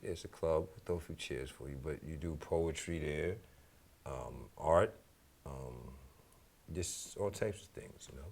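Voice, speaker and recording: A man with a very low, gravelly voice and a New York accent. He talks casually as a guest in an interview, rambling along in slangy phrases and sprinkling in "you know" and "man". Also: measured pace, a little mellow. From New York City. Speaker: DJ and music teacher, radio show guest.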